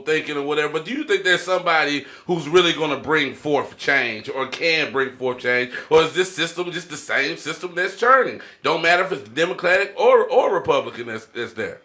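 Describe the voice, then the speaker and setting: Bright-voiced American man hosting, fairly high pitch. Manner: peppers talk with conversational tag phrases. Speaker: podcast host. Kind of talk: casual podcast discussion.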